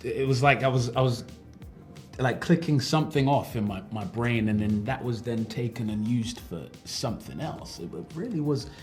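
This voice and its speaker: A man's voice: mid-range voice, normal speed, faint music behind. A British-accented man in his thirties, an actor speaking animatedly in a press interview. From Britain. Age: 30s. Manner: animated, laughs often.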